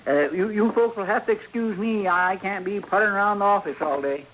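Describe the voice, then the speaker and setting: An actor playing a folksy, deferential frontier deputy with a rural American accent in an old radio western. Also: male voice, sits high, a little fast, lightly acted. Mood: eager, polite. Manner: folksy, polite, stammering a little. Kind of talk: 1950s radio western acting. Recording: muffled old radio recording, faint noise.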